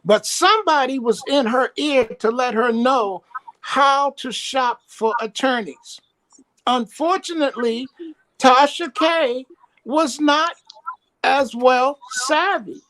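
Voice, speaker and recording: A woman's voice: high-pitched, takes it slowly, fairly bright tone, rough recording. A woman calling in to a talk show, blunt and opinionated, with a teasing edge. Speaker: caller. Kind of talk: casual chat.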